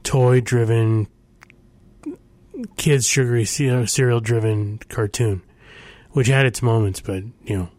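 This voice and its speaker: A man's voice: mid-range voice, fairly even intonation, slow, darkish tone. A middle-aged man hosting, chatting casually between songs with rambling, sarcastic asides and frequent self-corrections. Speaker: host.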